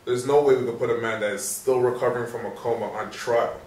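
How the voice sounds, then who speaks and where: A man plays a weary, cynical police sergeant in a stage drama. He is blunt and jaded, with a medium-pitched voice. The sound is echoey and distant, with faint noise. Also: steady tone, fast, a little mellow, natural voice. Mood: exasperated. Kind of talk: stage play reading.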